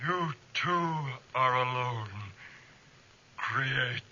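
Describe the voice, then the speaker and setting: An actor playing a huge, misshapen, vengeful monster in a 1940s radio drama, with slow, drawn-out utterances. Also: male voice, medium pitch, sharp tone, heavily acted. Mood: cold menace. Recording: dull, noisy old radio recording.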